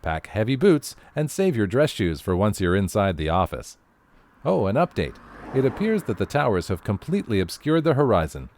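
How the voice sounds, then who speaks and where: A man's voice: on the low side, big pitch swings, normal speed, darkish tone. A man playing a field weather reporter in an audio drama, breezily delivering news of horrors like a newscaster. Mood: breezy, professional. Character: chirpy male field weather reporter amid eldritch horror.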